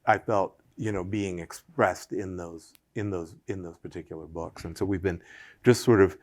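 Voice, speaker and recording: A man's voice: deep voice, steady tone, normal speed, darkish tone. A man speaking in a public conversation at a museum, thinking aloud in long winding sentences with many fillers and restarts.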